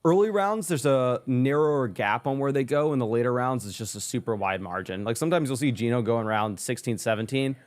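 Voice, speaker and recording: A man with a middle-pitched voice hosts a casual chat about fantasy football. He is upbeat and chatty, reacting quickly and moving the talk along. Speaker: host reading live chat.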